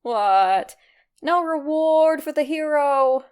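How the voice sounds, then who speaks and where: A woman's voice: high-pitched, animated, takes it slowly, darkish tone, pitched above the performer's own voice. A woman voicing a cocky, sarcastic, flirtatious male skeleton monster with a swaggering, teasing delivery in a story reading.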